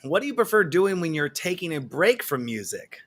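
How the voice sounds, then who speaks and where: Male host with a bright, slightly high voice, reads viewer questions in a casual chat. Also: very animated, brisk. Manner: friendly, reads viewer questions, loose rephrasing. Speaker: interview host.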